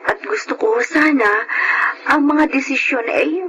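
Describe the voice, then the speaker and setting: A woman's voice: sits high, animated, a little slow, crowd noise, poor audio. A woman playing a long-suffering, emotional wife with a Filipino accent in a radio drama. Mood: hesitant pleading. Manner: emotional, pleading. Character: long-suffering, pleading young wife standing up for herself.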